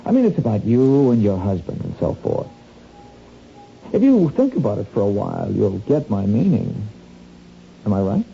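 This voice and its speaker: An actor playing a sly small-time crook in a radio drama, wheedling and insinuating in folksy, slangy talk. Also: male voice, lowish voice, very animated, normal speed, creaky, long pauses, warm tone. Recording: dull, noisy lo-fi radio recording.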